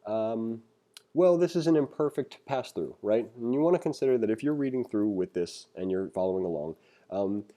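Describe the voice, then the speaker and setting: A man's voice: fairly low pitch, lively intonation, moderate pace, warm tone. A man giving a casual, conversational programming tutorial as instructor, reading along and adding opinionated, self-deprecating asides.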